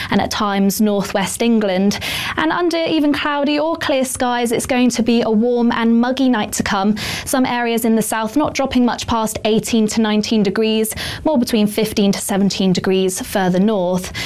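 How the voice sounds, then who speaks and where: Woman reading a weather forecast. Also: a little high. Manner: measured, even forecast delivery. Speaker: weather presenter.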